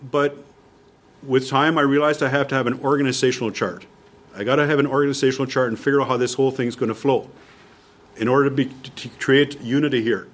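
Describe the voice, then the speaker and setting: A man's voice: mid-range voice, steady tone, quick pace. A man with a Canadian accent giving an informal instructional talk to a group, emphatic and repeating his key points. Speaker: mission leader presenting at a workshop.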